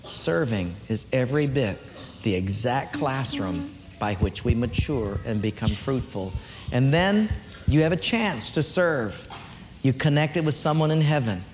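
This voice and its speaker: A man preaching an earnest sermon as pastor in a church, swinging between lifted emphatic lines and hushed ones, then leading a prayer. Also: medium pitch, expressive, unhurried, long reverb tail, music in the background.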